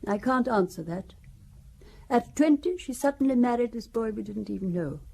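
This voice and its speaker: A wry, husky older widow. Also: female voice, fairly high pitch, expressive, moderate pace, a little mellow, full character voice. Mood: confiding. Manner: wry, confiding, gossipy. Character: wealthy older widow, genteel, sharp-tongued about her daughter. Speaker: Valentine Besson. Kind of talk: radio drama acting.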